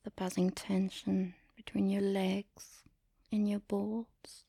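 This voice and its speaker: A woman speaking in an intimate, commanding and teasing erotic ASMR style, in a breathy whisper close to the mic. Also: mid-range voice, little pitch movement, takes it slowly, warm tone, no echo. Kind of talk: erotic ASMR, guided audio roleplay.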